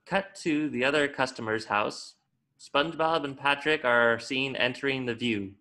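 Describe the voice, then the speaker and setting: A man's voice: mid-range voice, moderate pace, sharp tone. A man reading scripted stage directions as the narrator of a table read.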